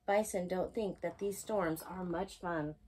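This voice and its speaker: A woman reading a rhyming children's picture book aloud as a storytime host, with expressive, singsong emphasis. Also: fairly low pitch, unhurried, slightly rough.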